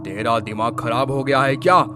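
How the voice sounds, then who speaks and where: A man's voice: medium pitch, normal speed, background noise, natural voice. A man playing an indignant, scheming shop owner in a cartoon story, commanding and scolding. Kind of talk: Hindi cartoon story acting.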